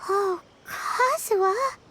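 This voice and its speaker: A woman voicing a tiny, childlike, curious fairy companion in a video game, squeaky and eager in a cartoonish way. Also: slow pace, background noise. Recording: music behind the voice.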